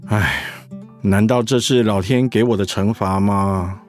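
An actor playing a troubled, torn middle-aged pharmacist in a radio drama. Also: male voice, on the low side, steady tone, a little slow, faint music behind, natural voice. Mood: dejected.

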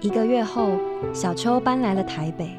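A woman's voice: mid-range voice, normal speed, close to an everyday voice. Actress as wistful female storyteller for radio play, mid-range voice, prominent music underneath. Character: wistful woman narrator recalling a friend. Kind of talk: radio drama narration.

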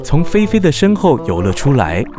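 A man narrating a children's audio drama as an expressive storyteller. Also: on the high side, normal speed, music in the background. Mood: dramatic, concerned.

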